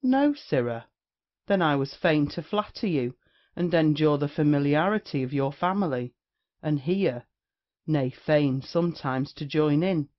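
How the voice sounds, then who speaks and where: An actor playing a brazen, witty rake in a period stage comedy, declaiming with mocking insolence. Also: male voice, a little high, muffled recording. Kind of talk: theatrical period comedy acting.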